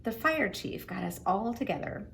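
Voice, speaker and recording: Woman, middle-aged, children's read-aloud, highly varied intonation, expressive, faint noise in the background. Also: moderate pace, creaky, poor audio. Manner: expressive, simple explanations as if to children. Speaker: storyteller reading to children.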